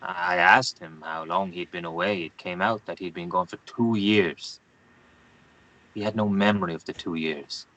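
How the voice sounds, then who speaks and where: A man's voice: low voice, steady tone, moderate pace, fairly bright tone, rough recording. A man playing a curt, grave Guatemalan newspaperman in his thirties at a screenplay table read. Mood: grim, haunted.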